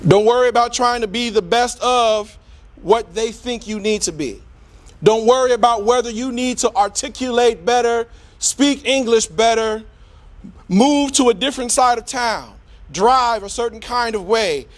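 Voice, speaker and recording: A middle-aged man giving an impassioned, preacher-like public speech in a deliberate, rhetorical manner with emphatic repetition. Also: very high voice. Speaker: community speaker.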